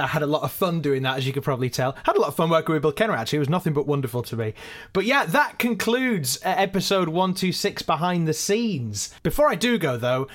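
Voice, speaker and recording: A man's voice: on the high side, fairly bright tone. A man presenting a casual, self-deprecating behind-the-scenes look with anecdotes. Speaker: actor, editor and presenter.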